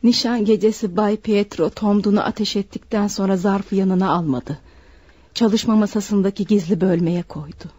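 An actress playing a desperate, bitter servant woman confessing to murder in a deliberate, dramatic radio play style. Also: mid-range voice, fairly even intonation, mellow, breathy edge, dry recording, lightly acted. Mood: resigned. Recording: dull, dry radio recording.

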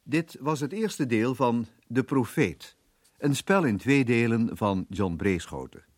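Slow, dry-sounding male radio announcer. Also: mid-range voice, lively intonation, no room sound. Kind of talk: scripted closing credits announcement.

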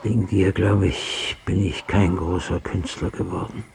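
A dying old father in a radio drama, low and gravelly. He is bitter and full of reproach, his breath sometimes failing. There is faint noise behind him. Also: little pitch movement, a little slow, a little mellow. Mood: bitter, fading. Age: older.

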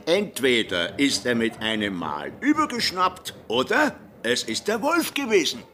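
An actor playing an insistent, superstitious villager in a radio drama, in a folksy manner. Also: male voice, medium pitch, sing-song, a little slow, sharp tone, slight background noise, exaggerated voice. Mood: ominous, insistent.

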